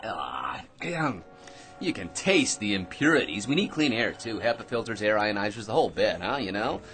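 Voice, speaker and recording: A man's voice: on the low side, very animated, moderate pace, over music. An actor playing a long-winded, argumentative office bore in his forties who prattles, repeats himself and lectures in a radio drama. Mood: grumbling. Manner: pushy, prattling, chuckles at his own points, repeats himself.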